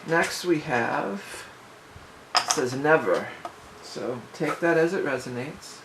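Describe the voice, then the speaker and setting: Raspy-throated woman hosting a relaxed tarot reading, rambling and conversational, faint room hum behind. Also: low-pitched, takes it slowly. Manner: chatty, loose, restarts and asides. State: hoarse, sore throat. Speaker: tarot reader, channel host. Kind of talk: casual tarot reading for viewers.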